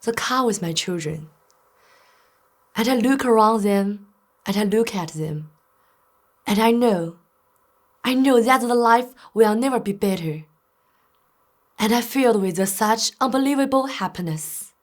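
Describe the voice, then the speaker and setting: A woman's voice: a little high, animated, a little slow, pauses often. An actress playing an earnest, blissful mother cherishing a memory in a stage monologue.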